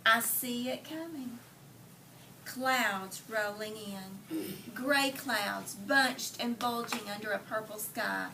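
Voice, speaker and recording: A woman teacher doing an interactive read aloud for young children, telling the story expressively with deliberate phrasing and pausing to put questions to them. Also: fairly high pitch, sharp tone. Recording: distant mic, clearly noisy room.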